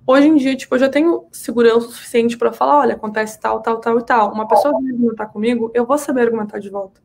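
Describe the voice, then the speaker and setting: A woman's voice: on the high side, fast talker, gravelly, a little mellow. A woman with a southern Brazilian accent, chatting casually as a podcast guest and telling personal stories in an emphatic way, repeating words for emphasis. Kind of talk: casual, animated personal storytelling in conversation.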